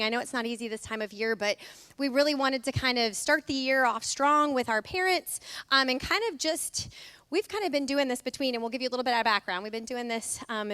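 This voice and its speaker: Middle-aged woman from the US, school administrator, loud and chatty, clear voice, dry mic. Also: sits high, dry recording. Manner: chatty, many fillers and asides. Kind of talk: casual welcome talk to parents. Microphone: microphone.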